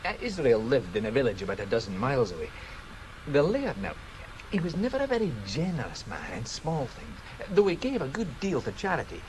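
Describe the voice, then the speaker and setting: A Scottish local spinning a tale in a radio drama. His pitch rises and falls dramatically as he ponders and hesitates. There is distinct noise behind him. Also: wildly swooping pitch, fairly quick. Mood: musing, confiding. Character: Scottish local man, wry teller of curious tales. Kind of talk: British radio drama acting.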